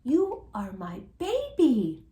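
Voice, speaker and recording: A woman voicing a tender, reassuring storybook mother bat with emphatic, drawn-out phrasing. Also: a little high, wildly swooping pitch, mellow, full character voice.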